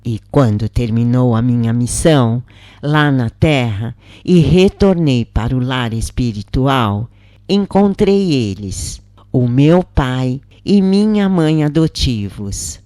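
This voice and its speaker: A woman reading a channeled spiritual story aloud in a calm, measured, reverent first-person voice. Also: rumbling low, dry recording, clean studio sound. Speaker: reader of channeled spirit messages.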